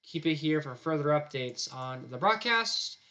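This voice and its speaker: A man's voice: on the high side, lively intonation, unhurried, noisy background. A man, a chief forecaster talking loosely through a live weather stream, his throat sore and his voice starting to go. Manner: loose, rambling, repeats "again" often. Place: weather center studio.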